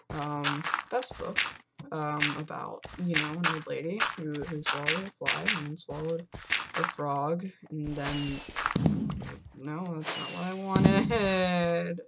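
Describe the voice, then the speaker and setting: Low-voiced woman rambling slowly over loud noise. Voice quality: fairly low voice. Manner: absurd deadpan rambling, sudden loud outbursts. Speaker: gamer. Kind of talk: rambling joking commentary while playing.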